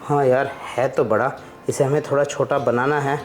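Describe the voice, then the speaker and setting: A man's voice: mid-range voice, little pitch movement, normal speed, a little hiss or hum. A man hosting a casual vlog, chatting informally and explaining things as he works on a hands-on project. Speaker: vlog host and father. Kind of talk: casual chat while doing a DIY project. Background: clear background music.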